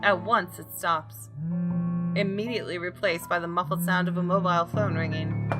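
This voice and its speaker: A woman reading a visual novel aloud as expressive, dramatic storytelling for a gaming video. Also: lowish voice. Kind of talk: expressive scripted narration with brief asides. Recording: game music behind the voice. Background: soft game music.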